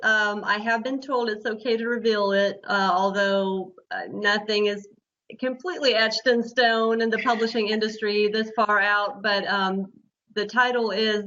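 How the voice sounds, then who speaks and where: A woman's voice: medium pitch, fairly even intonation, normal speed, fairly bright tone. A middle-aged woman from Sweden, a native Swedish speaker, answering questions as a guest in a casual interview. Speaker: interview guest, author and blogger. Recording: slightly muffled online call audio.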